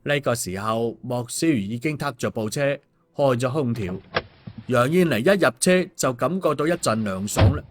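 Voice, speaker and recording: A man's voice: medium pitch, animated, normal speed. A man narrating an audiobook as a storyteller in a colloquial manner. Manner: colloquial, with sentence-final particles.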